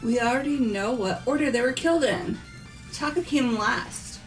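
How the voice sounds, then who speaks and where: A woman's voice: fairly high pitch, moderate pace, soft background music, lightly acted, rough recording. A woman playing a self-assured, argumentative student in an amateur game dub, emphatic in delivery. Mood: assertive. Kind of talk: scripted amateur visual novel voice acting.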